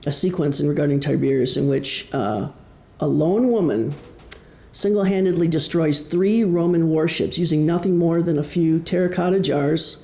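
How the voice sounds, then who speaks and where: A man from Wisconsin with a Midwestern American accent giving an explanatory public talk as an author, with deliberate pauses. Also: a little high, gravelly, dark tone. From Wisconsin, United States. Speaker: author presenting his novel. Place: indoor presentation room. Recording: echoey room.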